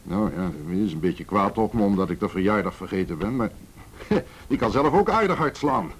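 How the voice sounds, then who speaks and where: A man's voice: fairly low pitch, expressive, normal speed, husky. An actor in a radio play playing a defiant, blustering businessman, belligerent and sneering, who stammers when cornered. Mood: defensive, scornful. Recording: faintly noisy radio drama recording.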